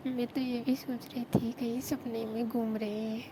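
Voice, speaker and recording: A woman acts out a lovesick young sister-in-law on a secret night call. Her voice is high, soft and breathy, with a plaintive, pleading way of talking. The phone recording has clear background noise. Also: flat delivery, moderate pace, close to an everyday voice. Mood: wistful, longing. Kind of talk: intimate staged phone-call acting in casual Hindi.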